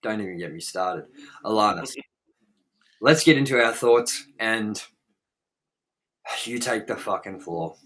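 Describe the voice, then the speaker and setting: A man's voice: fairly low pitch, takes it slowly, long pauses, a bit bright, rough recording. A man hosting a casual movie review chat, exasperated and prone to swearing. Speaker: host of a movie review series.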